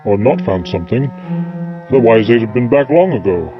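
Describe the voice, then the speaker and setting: Male actor as wry time traveller, eccentric, glib, animated intonation, audio drama, faint music behind. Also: medium pitch, brisk, muffled recording, close to an everyday voice. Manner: wry, evasive, flippant.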